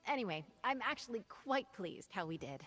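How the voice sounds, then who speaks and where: A woman's voice: mid-range voice, expressive, normal speed, a bit bright. A woman playing an eager, good-humored young knight aspirant in a video game. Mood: earnest, confident. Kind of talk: video game character dialogue. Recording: background music.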